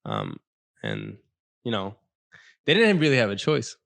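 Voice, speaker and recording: A man's voice: fairly low pitch, huge pitch swings, a little slow, vocal fry, a bit bright, no echo. A man in his twenties from New York State, telling stories on a podcast, swearing freely and acting out what people said. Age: 20s. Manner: storytelling, swears freely, acts out quotes. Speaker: podcast guest. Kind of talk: casual podcast chat.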